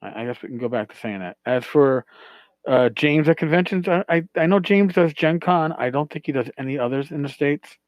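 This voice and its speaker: Man hosting podcast, halting casual delivery. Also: a little high, lively intonation, no room sound, dull audio. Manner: halting, searching, repeats words. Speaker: podcast host. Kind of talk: casual talk answering listener voicemails.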